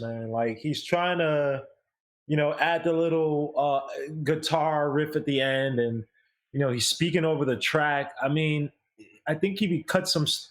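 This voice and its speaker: A man with a medium-pitched voice speaks as a review panelist. His delivery is casual and conversational, laying out an opinionated critique.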